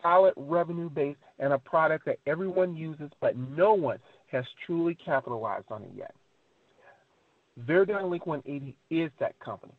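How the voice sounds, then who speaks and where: A man presenting a motivational network marketing pitch over a conference call, speaking emphatically in a repetitive, preacher-like cadence full of rhetorical questions. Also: expressive, normal speed, dry recording. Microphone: telephone conference call. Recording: tinny narrow phone line.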